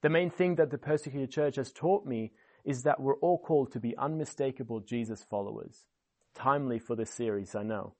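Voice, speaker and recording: A man's voice: medium pitch, brisk, a little mellow. A man in his twenties from Switzerland with a Swiss accent, giving an earnest, enthusiastic sermon as a guest speaker at an online church service.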